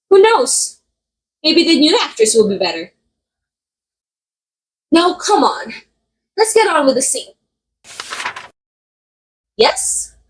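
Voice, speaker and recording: Woman playing a high, swooping, sweetly menacing actress. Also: slight background noise, exaggerated voice, low-quality recording. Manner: theatrical, coy, condescending, drawn-out phrasing. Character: murderous method actress, vain, sweetly menacing, theatrical. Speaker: voice actress in audio roleplay. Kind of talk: ASMR roleplay acting, addressing the listener.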